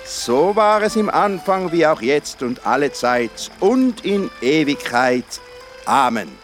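Patient young monastery tutor, unhurried and instructive, expressive pitch, children's audio drama. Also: male voice. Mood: reciting. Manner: measured, instructive. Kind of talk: children's radio play acting.

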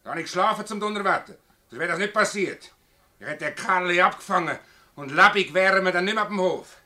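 A man's voice: high-pitched, lively intonation, fast, pushed and bright, exaggerated voice. A man acting a hot-tempered, indignant village farmer with a Swiss German accent, blustering and cursing in a radio drama. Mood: furious.

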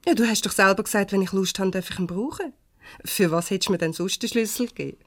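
An actress playing a defiant, quick-tongued young employee in a radio play, sharp and sarcastic, with a Swiss German accent. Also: female voice, mid-range voice, no room sound, clean studio sound. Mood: puzzled, casual.

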